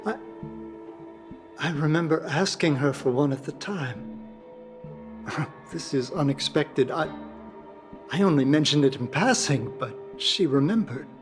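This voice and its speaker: A man voicing a wistful, chatty young merchant in a video game, rambling and halting as he speaks. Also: a little high, lively intonation, over music. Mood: moved, surprised. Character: grieving young man, friendly, chatty merchant guild rival.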